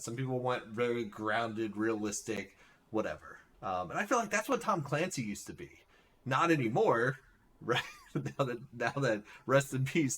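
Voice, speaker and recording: A man hosts a live stream in a relaxed way. He fills his sentences with "like" and "right?". His voice sits a little low. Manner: relaxed, says "like" and "right?" often. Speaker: streamer host. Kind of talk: casual live-stream chat.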